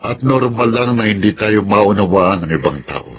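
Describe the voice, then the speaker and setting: A man's voice: on the low side, lively intonation, normal speed. An actor playing a seasoned, understanding Filipino army officer in a radio drama, fatherly and reflective.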